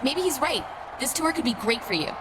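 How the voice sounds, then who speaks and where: A woman's voice: sits high, lively intonation, a little fast. A woman playing a flirtatious, coaxing character in a wrestling video game. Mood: flirty, coaxing. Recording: noisy, with crowd behind.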